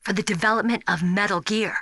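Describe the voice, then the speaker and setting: An actress playing a spirited, earnest young rookie soldier in a video game, speaking in a frank, expressive way. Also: female voice, mid-range voice, a little fast, dry recording, clean studio sound. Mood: confiding, frank. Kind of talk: dramatic video game voice acting.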